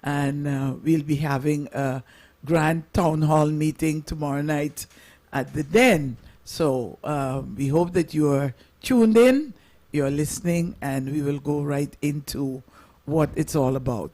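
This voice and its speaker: A man hosting a local radio program, introducing and interviewing guests in halting, wordy, rather formal phrasing. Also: on the high side, darkish tone.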